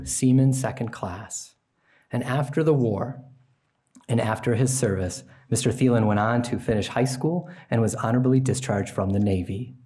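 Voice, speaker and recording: A man at a podium in an auditorium, giving a measured, formal introduction of the evening's guests. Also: medium pitch, mellow. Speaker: master of ceremonies introducing the guests. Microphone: podium mic.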